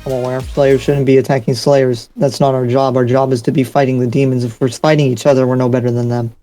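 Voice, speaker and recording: A man playing a dutiful, resolute demon slayer in an anime roleplay, speaking in a measured, plain-spoken way. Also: medium pitch, flat delivery, dark tone, close to an everyday voice. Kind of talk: improvised Minecraft anime roleplay. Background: music under the voice.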